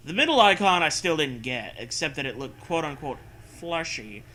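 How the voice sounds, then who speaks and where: A man's voice: a little high, sing-song, normal speed, pushed and bright. A man doing a mocking dramatic reading with casual riffing, sarcastic, profane and prone to hammy emphasis. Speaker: comedy co-host reading fan fiction aloud.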